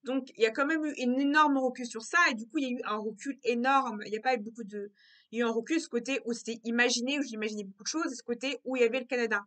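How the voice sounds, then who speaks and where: A woman's voice: fairly high pitch, very fast, a bit bright. A young woman vlogging in a casual, reflective monologue, often repeating herself and circling back to her words.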